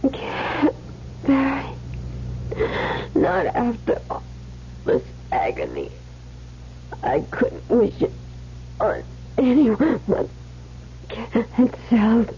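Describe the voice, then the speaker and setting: An actress playing a despairing, dying woman in a radio drama, speaking in halting, sobbing phrases full of self-blame. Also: a little high, very animated, mellow, a little hiss or hum, exaggerated voice. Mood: weak, tearful. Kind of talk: 1970s radio drama acting. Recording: muffled vintage radio recording with faint music.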